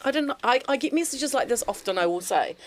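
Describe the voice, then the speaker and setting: A woman's voice: on the high side, expressive, quick pace. A woman, a guest telling her story in casual chat, quoting herself and laughing often. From New Zealand. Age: 50s.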